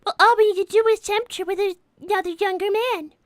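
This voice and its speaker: A woman's voice: extremely high pitch, steady tone, fast talker, no room sound. A woman voicing a young girl rabbit, a prim, indignant schemer who speaks politely and formally in improvised cartoon roleplay. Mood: scheming. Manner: polite, prissy, formal wording.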